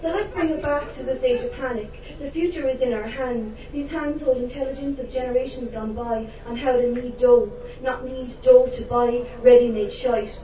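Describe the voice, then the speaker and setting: A higher-voiced Irish woman performs spoken word poetry with theatrical passion and dry humorous asides. She sounds distant from the microphone in a roomy venue. The recording is dull and carries steady background noise. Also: normal speed, hardly any pauses. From Ireland. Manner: impassioned, theatrical delivery with wry humorous asides. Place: live venue before an audience.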